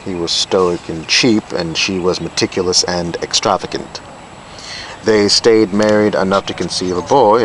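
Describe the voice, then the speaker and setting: A man reading literary fiction aloud in an amateur recording. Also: low-pitched. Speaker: reader of a novella excerpt. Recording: amateur video with loud background noise.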